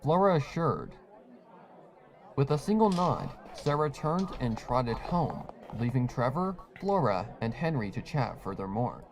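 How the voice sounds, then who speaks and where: A man's voice: medium pitch, animated, unhurried, a faint crowd, slight background noise, low-quality recording. A man reading scripted story narration as the narrator of a fan fiction.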